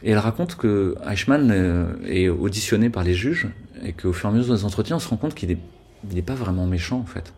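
A man's voice: lowish voice, expressive, fairly quick, darkish tone, breathy edge. A man speaking as an interview guest, arguing earnestly with frequent hesitations. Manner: earnest, argumentative, many hesitations. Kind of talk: conversational interview.

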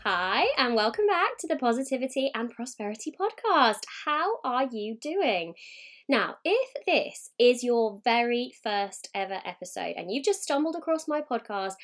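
A woman podcast host speaks in a loose solo monologue, with a voice that is croaky and hoarse from a sore throat. She sits a little above the usual pitch and stays upbeat and chatty, dropping in fillers as she goes. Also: very animated, normal speed, fairly bright tone, faint music behind. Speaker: podcast host and mindset coach.

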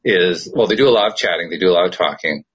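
A man hosting a casual podcast chat, reflective and quick to laugh as he gives life advice. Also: low voice, a bit bright, husky, muffled recording. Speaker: podcast host.

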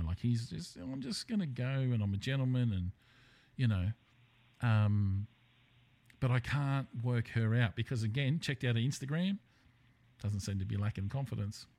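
A man's voice: mid-range voice, animated, moderate pace, darkish tone. A man hosting a casual podcast chat, talking in a rambling, hesitant way that often trails off mid-thought. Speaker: podcast host. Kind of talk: casual conversational podcast interview.

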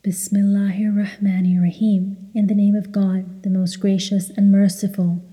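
A woman leading a guided meditation, giving measured, soothing instructions and recitations. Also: mid-range voice, flat delivery, dark tone. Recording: long hall-like reverb.

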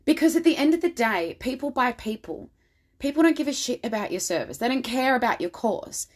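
Female podcast host, slightly raspy from overuse, enthusiastic and motivational, blunt. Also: on the high side, big pitch swings, fairly quick. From Australia. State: slightly raspy voice from much talking. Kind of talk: solo podcast monologue.

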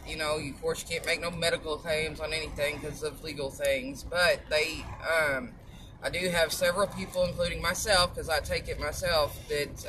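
A woman talks into her own vlog with a loud, strong voice, wandering from thought to thought with plenty of "um"s and long pauses. Her manner is blunt and outspoken. A steady hum of car and road noise sits clearly under her voice. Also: sits low. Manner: rambling, halting with long pauses and filler words. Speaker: vlogger selling supplements. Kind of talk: casual chatty monologue.

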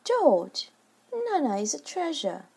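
A woman's voice: high voice, slow, voice pushed up. A woman voicing a placid, tender mother in a children's story, soothing and affectionate. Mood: gently pleading.

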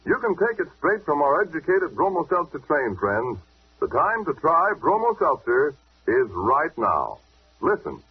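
A man's voice: on the high side, big pitch swings, a little slow, noisy background. A man announcing in 1940s radio commercial style, with a punchy, emphatic sales delivery. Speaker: radio announcer reading sponsor ads. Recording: muffled old-time radio recording.

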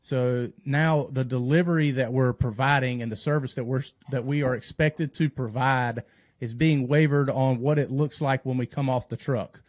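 A man speaks in a podcast conversation at an average male pitch. He explains his views earnestly and at length, rephrasing as he goes. The sound is close and dry. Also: fairly even intonation, moderate pace, a little mellow, dry recording. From North Carolina, United States. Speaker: firefighter and instructor, podcast guest.

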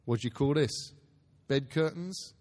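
A man's voice: mid-range voice, unhurried, a little mellow, lightly acted. A man voicing a sly, familiar old rascal who deals in stolen rags, in a story reading. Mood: sly, eager. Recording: reverberant hall, live stage reading.

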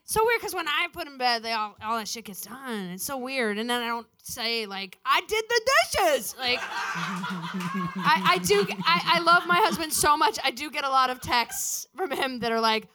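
A woman's voice: high voice, big pitch swings, moderate pace, bright, forceful tone. A woman in her forties chatting on stage, telling profane, ranting stories and mimicking people in a raised voice. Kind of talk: casual comedic chat.

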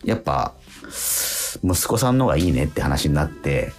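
A middle-aged man, hosting a radio show in casual, halting chat that moves into announcements. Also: very deep voice, very animated, faint music behind. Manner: halting, self-interrupting, repeats affirmations. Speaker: radio show host and stage actor.

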